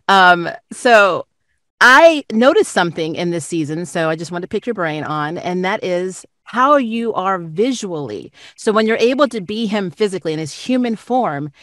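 A woman's voice: lowish voice, a bit bright, no room sound, clean studio sound. A woman conducting an enthusiastic, effusive interview over a video call.

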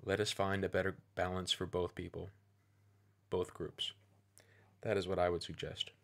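Deep-voiced man giving measured commentary. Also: level tone, gravelly, fairly bright tone. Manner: measured, deliberate, persuasive phrasing. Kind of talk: opinionated video commentary.

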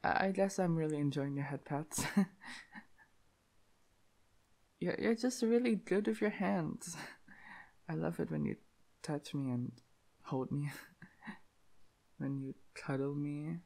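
A man's voice: on the high side, a little slow, pauses often, a little mellow. A man voicing an affectionate, shy young dog-boy boyfriend in an intimate roleplay, with a lisp and hesitant, cutesy delivery. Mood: content, affectionate. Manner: breathy giggling, hesitant stammering, cutesy. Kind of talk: intimate roleplay audio acting.

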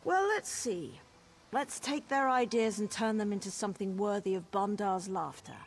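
A woman's voice: medium pitch, moderate pace, a little hiss or hum, close to an everyday voice. An actress playing a mischievous elf woman in a fantasy game who plots pranks in a conspiratorial, gleeful way. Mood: scheming. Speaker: quest giver in a fantasy game. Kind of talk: game dialogue.

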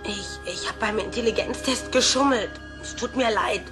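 A woman plays a rascally young cartoon boy with a high voice. He is cheeky and slippery, and faint music plays behind him. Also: normal speed, close to an everyday voice. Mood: confessing, tender. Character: cheeky ten-year-old schoolboy, rascally, evasive. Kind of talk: German cartoon dub acting.

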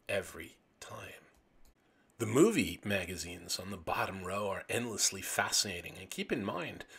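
A man with a gravelly, raspy voice narrating an illustrated commentary as host, with enthusiastic, dramatic emphasis on phrases. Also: sits low, sharp tone. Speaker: host of a video series on vintage pulp magazines.

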